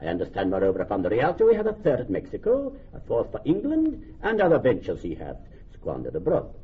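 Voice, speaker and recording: A man playing a shrewd, resentful Venetian moneylender in classical Shakespearean radio drama, deliberate and theatrical, musing over repeated phrases. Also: mid-range voice, huge pitch swings, slightly rough, full character voice. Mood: animated, calculating. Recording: dull, lightly noisy old radio recording.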